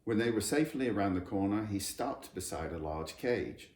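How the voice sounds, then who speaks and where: A man reads a children's story expressively. His voice is low with very varied intonation, and the recording is roomy with some noise behind it. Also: lively intonation, moderate pace, a little mellow. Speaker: storybook reader. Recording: roomy echo, background noise.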